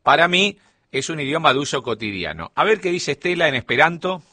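Rioplatense guy on the radio, bright dry voice, explains stuff in storytelling mode. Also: on the high side, sing-song, a little fast, no echo. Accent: Rioplatense Spanish. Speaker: radio columnist. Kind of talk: radio segment chat.

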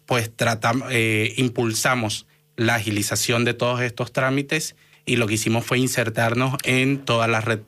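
Bright-voiced Venezuelan man in his thirties. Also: mid-range voice, little pitch movement, normal speed. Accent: Venezuelan Spanish. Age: 30s. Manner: earnest, many fillers and restarts. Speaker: guest, doctor and activist. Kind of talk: conversational interview answers.

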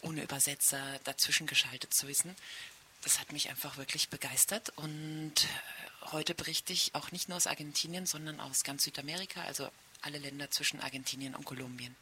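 A woman's voice: sits low, fairly even intonation, fairly quick, bright, forceful tone. A middle-aged woman from Germany answering interview questions spontaneously as a foreign correspondent in a radio studio. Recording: faint hiss in studio.

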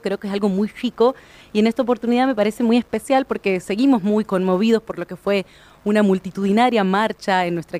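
A woman's voice: medium pitch, a little mellow. A woman hosts a radio interview in a mid-range voice. She introduces her guests with effusive enthusiasm. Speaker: radio host.

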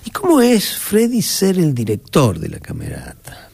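Gravelly, lively radio host. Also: male voice, a little high, measured pace. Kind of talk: radio interview.

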